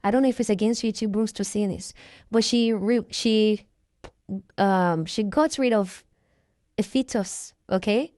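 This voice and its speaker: Woman, vlogger, chatty, halting, mid pitch, dry close mic. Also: a little mellow, no echo. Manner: chatty, hesitant, trails off and restarts. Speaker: reaction vlogger. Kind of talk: casual recap commentary.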